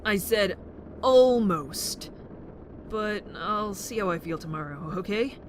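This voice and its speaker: Clear vehicle noise sits behind a woman playing a soft-hearted teenage boy in an amateur audio drama. The pitch is that of an average female voice. The delivery is gentle, thoughtful and hesitant, with a dry wit. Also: animated, takes it slowly. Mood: admiring, shy.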